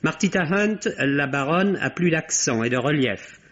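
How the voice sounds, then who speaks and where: A man's voice: fairly high pitch, animated, unhurried, fairly bright tone. Dull old-radio recording, wry male critic. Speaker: film critic. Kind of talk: scripted radio film review. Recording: dull, band-limited vintage radio recording.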